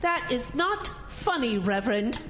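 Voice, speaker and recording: Actress as prim pious woman, high voice, swooping intonation, stern, flustered, audio drama, noisy background. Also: big pitch swings, unhurried, fairly bright tone. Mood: indignant. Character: prim, pious, indignant woman frightened but denying it. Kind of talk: scripted audio drama acting.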